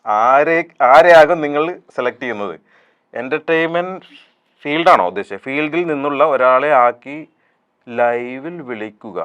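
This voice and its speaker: Muffled, mid-pitched man chatting. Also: unhurried, smooth. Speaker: interview guest. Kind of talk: casual chat.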